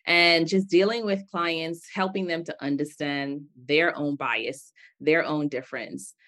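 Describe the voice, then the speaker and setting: A woman from the Bahamas hosting an interview, enthusiastic and quick to exclaim as she asks her guest questions. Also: low-pitched, fairly even intonation, fairly bright tone. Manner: enthusiastic, exclaims and laughs freely. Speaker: podcast host. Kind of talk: conversational interview.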